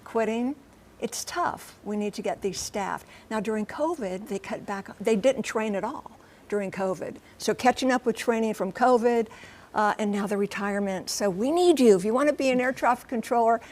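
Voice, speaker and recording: A woman with a high American voice works as a TV correspondent. She explains the story in a conversational way, leaning on key words and breaking points into short, emphatic phrases. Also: normal speed, a little mellow. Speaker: TV transportation correspondent and pilot. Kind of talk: live TV news report, conversational.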